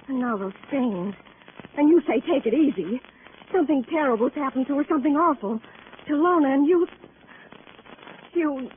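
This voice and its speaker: High-voiced anxious woman acted in old radio drama. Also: lightly acted. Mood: distraught, pleading. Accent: American. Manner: emotional, halting, repetitive phrasing. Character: distraught, anxious young working woman pleading for her friend. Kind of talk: 1940s-50s radio drama acting. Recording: old radio recording, narrow band, hiss.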